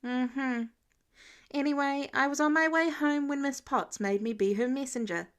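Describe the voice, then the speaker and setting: Woman as a high-voiced, cheeky teenage intern boy. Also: unhurried. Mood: matter-of-fact.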